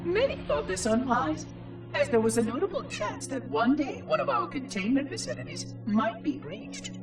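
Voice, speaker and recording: A small floating machine caretaker from a video game, precise and strangely chipper. The voice sits high and leaps around, with game score playing clearly behind it. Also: male voice, wildly swooping pitch, moderate pace, far from the mic, over music, full character voice. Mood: solemn. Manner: precise, formal, oddly cheerful. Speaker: monitor of an installation.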